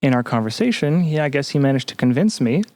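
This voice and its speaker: A man talking casually as an interview guest, halting often as he searches for words. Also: medium pitch, animated, a little mellow. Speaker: podcast guest, graduate student.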